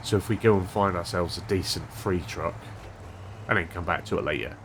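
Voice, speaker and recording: Low-voiced stuffy-nosed man rambling. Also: brisk, noisy background. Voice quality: monotone. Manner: rambling, thinking aloud with restarts. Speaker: gaming channel host. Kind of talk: casual gameplay commentary.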